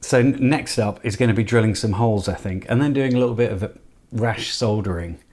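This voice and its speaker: A man's voice: on the low side, a little fast, a little mellow. A man casually talking through a hands-on electronics build, thinking aloud in a tentative, self-deprecating way. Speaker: host of an electronics project channel.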